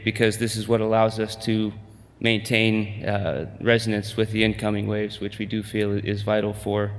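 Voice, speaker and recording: Uomo che parla in sala riverberante. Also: male voice, lowish voice, in a large hall. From United States. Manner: hesitant, many fillers and restarts. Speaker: company presenter. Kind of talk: conference pitch presentation.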